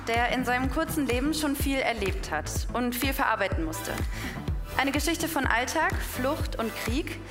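A woman in a high voice reads a jury's award citation in a formal, composed way. Music plays behind her. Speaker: award presenter. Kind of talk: reading a jury statement.

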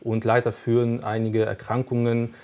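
A man's voice: medium pitch, completely flat delivery, moderate pace, darkish tone. A man whose first language is Russian, a police recruiting officer explaining the application process in a studio interview, with frequent hesitations. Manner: explanatory, many hesitation fillers.

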